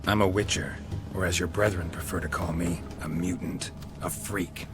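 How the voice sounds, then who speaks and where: Guy doing a super low gravelly monster hunter, dry n unbothered, video game, music under it. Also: moderate pace, lightly acted. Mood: cool defiance. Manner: deadpan, terse. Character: gruff, laconic monster hunter, dry and unruffled.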